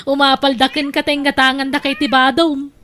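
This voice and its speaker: A woman plays a nosy cousin in an audio drama. The voice is very high, with a chatty, inquisitive manner. She gossips and teases as she asks her questions. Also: flat delivery, normal speed, bright, forceful tone. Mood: curious, teasing. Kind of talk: Ilocano audio drama acting.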